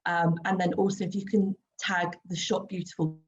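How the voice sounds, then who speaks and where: A woman in her forties, croaky from a sinus issue, chatting casually with many ums as she hosts a webinar over a video call. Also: on the low side, little pitch movement, moderate pace. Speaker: skin expert and trainer hosting a webinar. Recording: dull lo-fi video call audio with faint noise.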